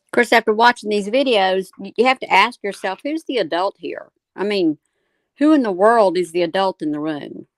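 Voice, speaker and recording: A woman's voice: medium pitch, normal speed, no room sound. A woman co-hosting a live discussion, giving opinionated commentary in an emphatic, questioning way. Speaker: co-host moderating a live discussion.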